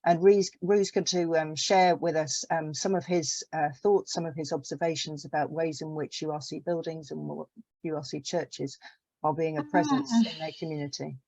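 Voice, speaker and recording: A woman hosting an online meeting, giving an informal, halting introduction over a video call. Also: low voice, darkish tone, no echo, rough recording. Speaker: host introducing a speaker.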